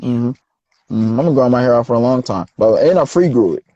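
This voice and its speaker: A young man, a rapper being interviewed, telling stories in a relaxed, slangy way. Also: medium pitch, brisk, no echo, muffled recording. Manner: laid-back, slangy storytelling. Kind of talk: casual interview chat.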